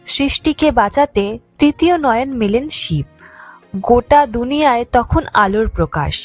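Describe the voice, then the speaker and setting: Expressive high-voiced woman narrating mythology. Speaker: storyteller narrator. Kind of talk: scripted narration of a mythological tale. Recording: background music under the voice. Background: music.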